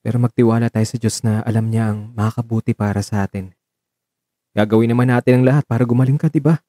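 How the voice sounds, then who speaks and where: A man acting as a devoted, caring partner in an audio drama, earnest, coaxing and gently scolding with emotion. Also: medium pitch, steady tone, fast talker, no room sound, clean studio sound, lightly acted. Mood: reassuring.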